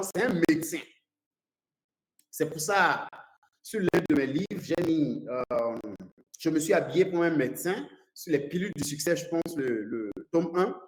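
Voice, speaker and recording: A man from Nigeria whose first language is English, a consultant and coach giving a seminar to a live audience, speaking haltingly with many fillers and repeated words. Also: a little high, darkish tone, roomy sound, rough recording.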